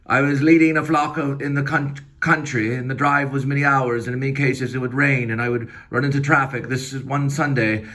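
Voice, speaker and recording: A man's voice: mid-range voice, fairly even intonation, a little fast, few pauses, fairly bright tone, pitched above the performer's own voice, close to an everyday voice. A man playing a grieving, obsessed ex-minister turned werewolf hunter in a solemn, wordy dramatic monologue. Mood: haunted, sorrowful.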